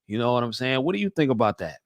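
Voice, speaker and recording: A man's voice: mid-range voice, sing-song, fast talker, dry recording. A man hosting a talk show, speaking casually in slang and giving his opinions. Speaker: show host.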